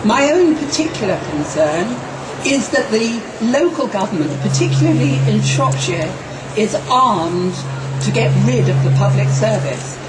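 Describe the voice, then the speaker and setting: A woman, giving a slow, measured, impassioned speech at an outdoor protest rally. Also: medium pitch, sing-song. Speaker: rally speaker. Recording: distant echoey rally recording with noise.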